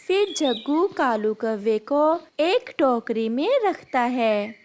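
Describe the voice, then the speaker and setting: A woman's voice: on the high side, expressive, takes it slowly, a little mellow, slight background noise. A woman narrating a scripted cartoon story as its storyteller.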